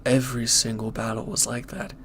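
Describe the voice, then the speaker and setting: A man playing a former villain turned hesitant, conflicted lover, speaking earnestly and haltingly in an intimate audio roleplay. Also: mid-range voice, expressive, a little hiss or hum, lightly acted. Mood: regretful, earnest.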